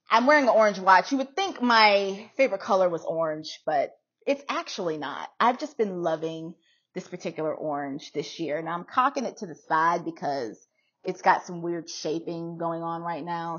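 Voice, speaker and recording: A middle-aged woman with an American accent gives a casual, chatty try-on talk. Her voice sits on the low side with a husky edge, and she leans on phrases by repeating them. The home recording sounds slightly dull. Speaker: vlogger showing a hat collection. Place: small room indoors at home.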